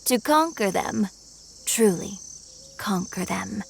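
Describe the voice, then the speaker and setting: An actress playing a mocking, sinister cult leader in a video game, with an archaic, taunting and ominous way of speaking. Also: female voice, medium pitch, lively intonation, slow, creaky, a little hiss or hum, exaggerated voice. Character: ageless cult leader woman posing as young, mocking, sinister. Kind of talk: dramatic video game voice acting.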